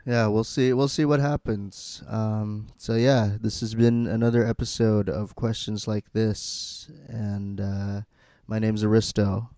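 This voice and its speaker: A man hosting a podcast in casual, halting chat peppered with profanity. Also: on the low side, flat delivery, dark tone, no echo, studio quality. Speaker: podcast host.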